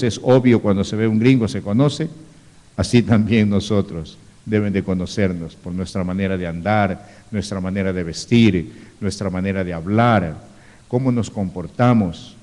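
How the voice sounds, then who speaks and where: A man's voice: lowish voice, mellow. A man preaching as a pastor, teaching in a sermon, reading scripture aloud and adding rhetorical asides. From Ecuador. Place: church hall, live stream. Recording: reverberant church hall.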